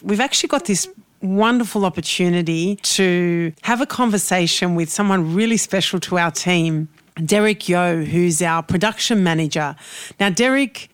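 A woman hosting a podcast, talking in an effusive, praising, warm way. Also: on the low side, smooth, dry recording. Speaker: podcast host. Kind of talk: casual podcast chat.

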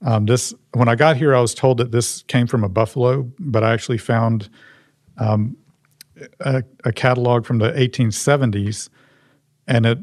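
A man, showing and explaining a museum object in a halting, restarting way. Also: medium pitch, fairly even intonation, a little mellow, no room sound, studio quality.

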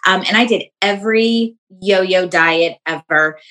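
A woman's voice: medium pitch, bright, forceful tone. A woman in her thirties, a wellness coach guest, talking casually and chattily, with lots of fillers. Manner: chatty, full of fillers, quick bursts. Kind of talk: casual interview chat.

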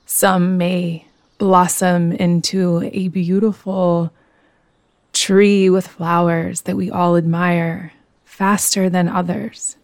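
A woman guiding a yoga nidra meditation in a soothing, gentle, unhurried manner. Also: fairly low pitch, flat delivery, dry recording, clean studio sound. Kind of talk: guided meditation.

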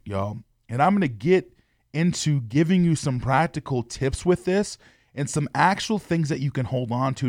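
A man's voice: medium pitch, darkish tone, no echo, studio quality. A young adult man, hosting a podcast and talking to listeners in an earnest, encouraging way. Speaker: podcast host. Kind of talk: motivational podcast talk.

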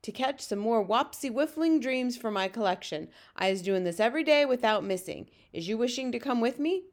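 A woman voicing a gentle, friendly giant man with mangled folksy grammar and an earnest manner, as in a storybook read-aloud. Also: a little high, lively intonation, brisk, lightly acted. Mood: kindly.

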